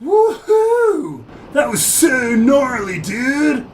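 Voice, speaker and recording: A man voicing a whooping, excitable surfer dude in an audio fiction story. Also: very high-pitched, very slow, a little hiss or hum, pitched above the performer's own voice, exaggerated voice. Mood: wildly excited. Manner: shouting, whooping and hollering. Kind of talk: audio fiction character voices.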